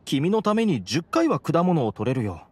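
A man's voice: on the high side, very animated, moderate pace, a little hiss or hum. An actor playing an exasperated but good-natured young male alchemy assistant in an anime game, earnest and protesting. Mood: wary, exasperated.

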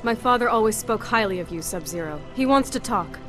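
A woman plays a confident young female sergeant in a video game. She speaks at a medium pitch in a brisk, plain-spoken way. Clear game music runs behind her. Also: animated, lightly acted. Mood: firm. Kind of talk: naturalistic video game acting.

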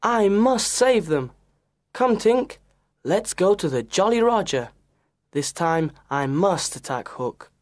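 A woman's voice: mid-range voice, lively intonation, slow pace, darkish tone. A woman voicing a brave, stubborn young boy adventurer in a children's storybook, serious and resolute. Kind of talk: storybook character acting.

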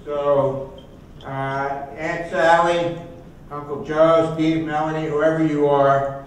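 A man's voice: a little high, little pitch movement, slow. Echoey older man giving a keynote. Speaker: keynote speaker. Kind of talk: conversational keynote speech. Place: large hotel conference ballroom, at podium. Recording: echoey hall from far off, noisy.

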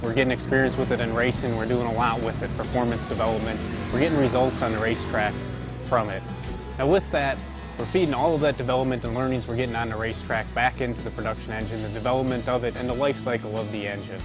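A man's voice: mid-range voice, fairly even intonation, fast. A male engineer talking earnestly about racing development, with a few restarts. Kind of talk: explaining on camera. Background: music bed.

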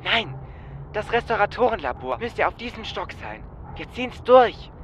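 A man's voice: high-pitched, very animated, moderate pace, fairly bright tone. A man playing a curt, impatient, commanding heist crew leader in an audio drama. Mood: determined. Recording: slight background noise.

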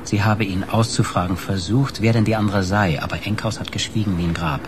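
Man acting a low-voiced, gossipy informant. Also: steady tone, fairly quick, lightly acted. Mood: confiding. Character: chatty, teasing male informant of a detective. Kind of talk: German radio crime drama acting. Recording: clear background noise.

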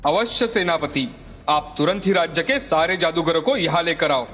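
A man voicing a troubled, commanding fairy-tale king in formal, declamatory animated story dialogue. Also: on the high side, moderate pace. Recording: long hall reverb, faint music.

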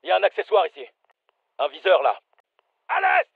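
An actor playing a brisk special forces soldier, giving clipped, commanding combat callouts for a dubbed military game. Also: male voice, sits high, huge pitch swings, gravelly, breathy edge, no room sound, phone-quality sound, heavily acted. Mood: alarmed.

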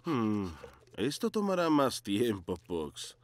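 A woman's voice: rumbling low, sing-song, slow, noisy background, heavily acted. An actress voicing a kind, encouraging grown-up female pug in a cartoon dub. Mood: gentle. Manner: gentle, encouraging. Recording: music track behind.

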